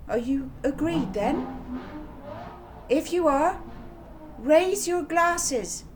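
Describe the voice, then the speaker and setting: Woman playing a loud, hearty housekeeper lady. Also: high voice, lively intonation, extremely slow, background noise, voice pushed up, heavily acted. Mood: hearty, celebratory.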